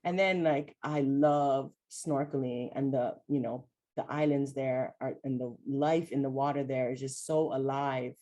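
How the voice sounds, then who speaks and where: Low-voiced woman, reflective chat. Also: steady tone, unhurried, hardly any pauses. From Hawaii. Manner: warm, reflective, with many "like" and "you know" fillers. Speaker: host, mental health coach. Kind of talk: casual chat between friends.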